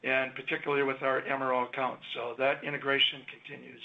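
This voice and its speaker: A man, a company executive, answering analyst questions in a measured, explanatory way on an earnings call. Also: mid-range voice, little pitch movement, creaky. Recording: phone-line audio.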